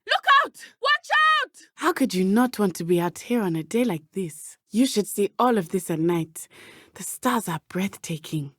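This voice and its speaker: A woman's voice: on the high side, dry recording, clean studio sound. A woman playing a brave, earnest teenage witch in a video game, with a southern African accent and formal, precise phrasing. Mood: excited, alert. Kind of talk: video game character dialogue.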